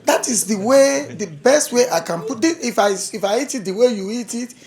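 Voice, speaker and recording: A man from Africa addressing a community dialogue, halting and repeating words, laughing between points. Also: very high-pitched, expressive, darkish tone. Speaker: community speaker.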